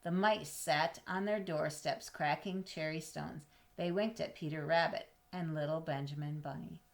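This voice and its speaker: A woman with a fairly low voice reads a storybook aloud to children. She is expressive, stretching out key words and pausing for drama. Now and then she steps aside to put questions to her listeners or explain a word. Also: vocal fry. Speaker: storybook reader.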